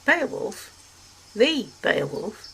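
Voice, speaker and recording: A woman's voice: medium pitch, sing-song, moderate pace, a bit bright, slightly rough, pitched above the performer's own voice, heavily acted, poor audio. A reader voicing an arch, refined woman traveller who speaks in a poised, teasing way.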